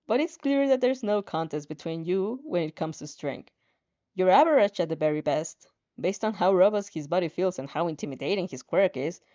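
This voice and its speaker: A woman reading a story aloud dramatically in the second person, expressive and informal, sometimes tripping over her words. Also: lowish voice. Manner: expressive, stumbles over words and giggles at slips. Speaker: fan fiction reader and reactor. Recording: dull lo-fi sound.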